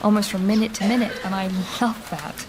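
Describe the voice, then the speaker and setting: A woman playing a chatty thirties woman, middle pitch, rambling, laughs easily, radio drama with noise behind. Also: steady tone, brisk, natural voice. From Leeds and Bristol. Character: chatty woman living in London, warm and talkative.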